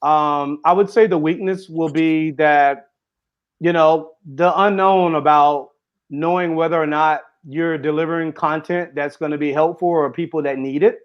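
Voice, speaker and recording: A man's voice: a little high, steady tone, moderate pace, clear, resonant tone, muffled recording. A man giving an earnest interview as a guest author and speaker, running his sentences together with fillers. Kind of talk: casual interview conversation.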